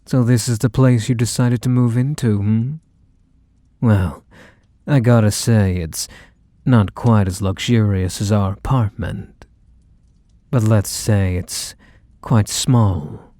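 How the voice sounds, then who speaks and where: A man's voice: lowish voice, fairly even intonation, dark tone, no room sound. A man playing a possessive, teasing mafia boss lover in an intimate ASMR roleplay, speaking in a mock-sweet, condescending way full of menacing affection. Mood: smooth, condescending. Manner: unhurried, mock-sweet, condescending pet names. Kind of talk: ASMR roleplay, intimate one-sided dialogue.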